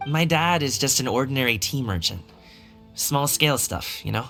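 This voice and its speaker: Young dancer from a video game, friendly n earnest, bright voice, casual, faint music behind. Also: male voice, mid-range voice, expressive, normal speed. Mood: earnest, wistful. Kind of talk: video game character acting.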